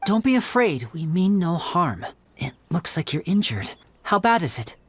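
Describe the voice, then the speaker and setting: Low-pitched, expressive young magician. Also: female voice, normal speed, a little hiss or hum. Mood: reassuring. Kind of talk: anime video game voice acting. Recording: music behind the voice.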